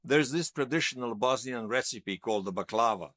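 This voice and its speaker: A man's voice: mid-range voice, brisk, fairly bright tone, dry recording, clean studio sound, close to an everyday voice. An actor playing a nostalgic, wryly amused man recalling his past conversationally in an interview-style audio drama. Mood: fond, amused. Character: nostalgic man recalling lost love in a future world.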